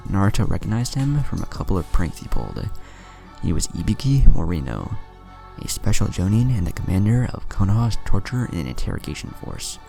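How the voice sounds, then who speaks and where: A man narrates a fan story in an even, unhurried storyteller's voice. His voice is very deep, with a gravelly rasp and a dark, mellow tone. Soft music plays quietly underneath. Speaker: fan fiction reader. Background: soft background music.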